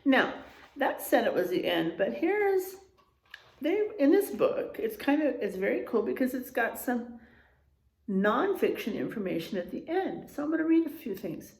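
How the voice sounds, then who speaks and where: A woman teacher reading to young children in an expressive way, pausing for explanatory asides and checking in with her listeners. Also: a little high. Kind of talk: animated children's read-aloud with explanatory asides. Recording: echoey room sound.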